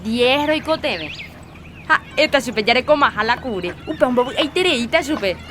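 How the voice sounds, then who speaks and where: A woman's voice: sits high, expressive, normal speed, a bit bright. A young woman playing an outspoken daughter who questions her father in a radio drama, with a Paraguayan accent. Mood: chatty. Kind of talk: radio drama acting in Jopara. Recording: noisy rural ambience.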